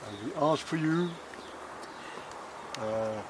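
An older man officiating an outdoor wedding and praying over the couple in a solemn, measured way. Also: mid-range voice. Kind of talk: wedding ceremony and prayer. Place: outdoor wedding under trees. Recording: faint outdoor noise.

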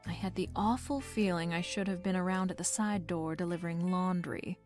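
A woman's voice: on the low side, fairly quick, lightly acted. Woman acting sassy female gumshoe, wry, slangy, self-assured, noir radio drama, music underneath. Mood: wry narrating. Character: brash, wisecracking female private detective, sassy and self-assured.

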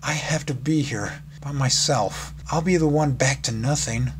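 A man voicing a timid, sorrowful young female pegasus pony in a story, soft, shaky, hesitant and apologetic. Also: medium pitch, measured pace, close to an everyday voice. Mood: resigned, pleading. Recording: clearly noisy background.

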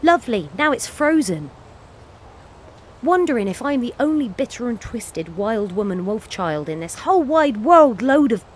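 A woman's voice: fairly high pitch, very animated, normal speed, a little hiss or hum. An actress playing a bitter, defiant working-class cleaner and self-styled wolf woman in an audio play monologue, with an earthy, sweary way of rambling.

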